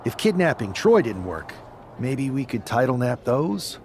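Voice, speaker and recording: A man's voice: mid-range voice, very animated, a little slow, a little mellow. A scheming wrestler in a game cutscene, dismissive in tone. His mid-range voice moves expressively, with faint noise behind.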